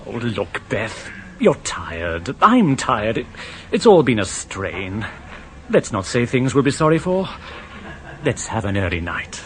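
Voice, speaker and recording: An actor playing a defensive, irritable young newlywed husband, exasperated and placating, in a radio drama with faint hiss. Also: male voice, on the low side, very animated, takes it slowly.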